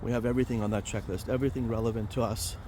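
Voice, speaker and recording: Guy rambling thru his vlog on a walk outside, mellow voice, faint traffic hum behind. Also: mid-range voice, fairly even intonation, very fast. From Romania. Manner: rambling, chatty, many fillers and restarts. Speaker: vlog host, financial planner. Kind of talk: casual vlog walking outdoors. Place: outdoors in a park near a highway.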